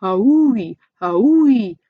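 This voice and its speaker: A woman voicing a boisterous, bossy little storybook girl who wails and shouts machine noises. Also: fairly high pitch, expressive, very slow, husky, no echo, phone-quality sound, heavily acted. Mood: playful, noisy. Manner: loud wailing and honking.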